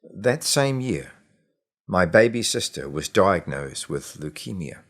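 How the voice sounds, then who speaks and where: A man reading a short story as scripted audiobook narration, in a measured, expressive way with deliberate pauses. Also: sits low, slightly rough.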